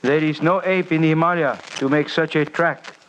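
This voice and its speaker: Grave earnest sherpa guide played by a guy, higher voice, formal wording, old radio show, bit noisy. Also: fairly even intonation, fairly bright tone, natural voice. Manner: formal, measured, stilted phrasing. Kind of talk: old-time radio drama acting.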